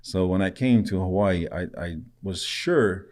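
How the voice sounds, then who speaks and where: Deep-voiced man telling stories. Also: unhurried, a little mellow. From Samoa. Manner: laughs often while telling stories. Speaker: podcast guest. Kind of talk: casual conversational storytelling.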